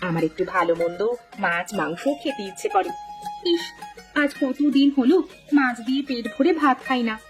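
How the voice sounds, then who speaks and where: Casting, female actor: lively-voiced cartoon housewife, high register, brisk, affectionate scolder. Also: music in the background, close to an everyday voice. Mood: wistful. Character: brisk, caring village wife, slightly bossy and longing. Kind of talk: cartoon story dialogue.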